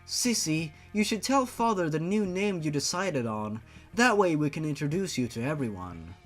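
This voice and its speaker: Storybook little sister, voiced by a man: tender, theatrical, raised pitch, animated intonation. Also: normal speed, soft background music. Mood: tender, happy. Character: affectionate young sisters reunited after resurrection.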